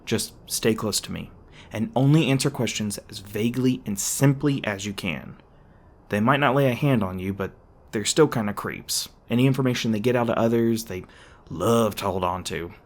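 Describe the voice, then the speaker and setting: Man acting a low-voiced, brash monster hunter. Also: normal speed, natural voice. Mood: wary, protective. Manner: stammering when flustered, brash and sarcastic. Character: gruff, edgy monster hunter, defensive but secretly soft-hearted. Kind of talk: ASMR roleplay voice acting.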